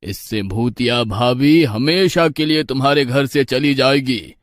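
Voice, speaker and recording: Calm, measured priest, voiced by a man. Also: mid-range voice, no echo, natural voice. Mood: solemn, advising. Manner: slow, measured, instructive.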